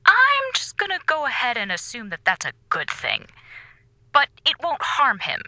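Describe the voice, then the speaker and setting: An actress playing a stern, exasperated space station commander in a comic radio drama, speaking in a crisp, dry, authoritative way. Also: female voice, high-pitched, huge pitch swings, moderate pace, bright, forceful tone, reverberant, exaggerated voice. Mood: coolly vindictive. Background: music.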